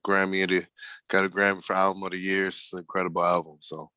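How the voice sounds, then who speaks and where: A man's voice: deep voice, flat delivery, quick pace, sharp tone, clear, resonant tone, no echo. A man co-hosting a podcast, bantering in a hyped, slangy way. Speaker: podcast co-host. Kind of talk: casual chat.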